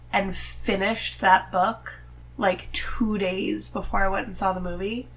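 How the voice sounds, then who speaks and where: A woman's voice: medium pitch, rough recording. A woman as a podcast guest, chatting casually and telling her views in an emphatic, informal way. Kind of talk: casual conversational chat.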